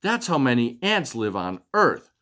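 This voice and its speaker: A man voicing a busy, excitable tiny ant in a storybook, shouting urgently. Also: mid-range voice, a little slow.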